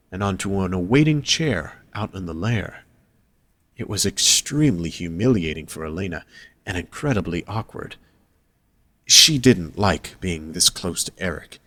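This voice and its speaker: A man's voice: sits low, fairly bright tone, dry recording. A man reading scripted story narration as the narrator of an audio drama.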